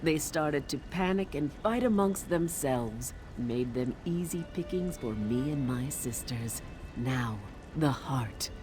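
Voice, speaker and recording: A woman's voice: rumbling low, lively intonation, slow pace, music in the background, exaggerated voice. An actress playing a wry, earthy forest witch in a video game, with a casual, teasing manner. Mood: resolute. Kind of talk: video game character dialogue.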